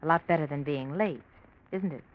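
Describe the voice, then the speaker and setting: A woman's voice: low voice, fairly quick, lightly acted. An actress playing a prim, fussy woman at a doctor's office, polite and proper, in 1940s radio style. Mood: prim, approving. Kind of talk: 1940s radio drama acting. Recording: noisy, muffled old radio recording.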